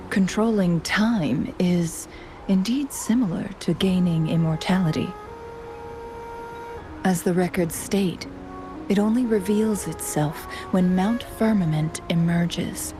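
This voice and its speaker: A woman voicing a composed, courteous young strategist in a fantasy game, speaking in measured, formal phrases. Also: fairly low pitch. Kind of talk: scripted video game dialogue.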